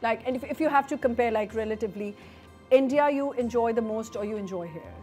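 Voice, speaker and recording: Woman TV host, effusive, compliments and echoes guest; slightly high voice; faint music bed. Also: fast talker, warm tone. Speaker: TV morning show host. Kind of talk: casual TV interview chat.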